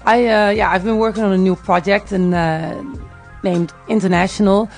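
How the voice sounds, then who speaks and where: Creaky-voiced Dutch woman chatting. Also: medium pitch, fairly even intonation, normal speed, soft background music. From Netherlands, grew up in Tilburg. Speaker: music artist, interview guest. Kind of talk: casual radio interview. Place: radio studio.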